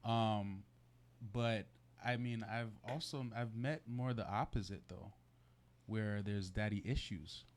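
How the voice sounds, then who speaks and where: Male talk show guest, slightly low voice, informal and slangy, conversational, often seeking agreement. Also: fairly even intonation, takes it slowly. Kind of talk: casual conversational interview answers.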